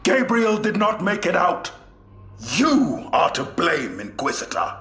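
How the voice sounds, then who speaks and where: An actor playing a bitter, accusing soldier in a game cinematic. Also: male voice, high voice, huge pitch swings, a little slow, pushed and bright, faint music behind, heavily acted. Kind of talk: dramatic game cinematic acting. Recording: echoey, faint noise.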